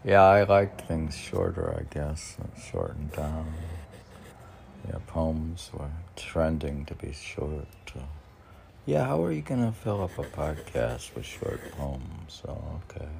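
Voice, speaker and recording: Male poet, extremely low voice with heavy fry, musing through self-posed questions and answers; noisy amateur recording. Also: animated, a little mellow. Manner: halting, musing, repeats questions back to himself. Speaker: poet reading and discussing his own work.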